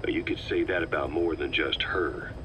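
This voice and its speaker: Middle-aged military officer, very low pitch, measured, assured, calculating, game drama, clear background noise. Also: male voice. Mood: firm, challenging. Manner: measured, assured, reasoning patiently.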